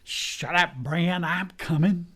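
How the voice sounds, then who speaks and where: A man's voice: high voice, slow, fairly bright tone, full character voice. An actor playing a folksy, fussy American deputy who is loyal to his marshal, in a western radio play. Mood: exasperated. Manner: folksy rustic drawl, fussy and deferential. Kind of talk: old-time western radio play acting.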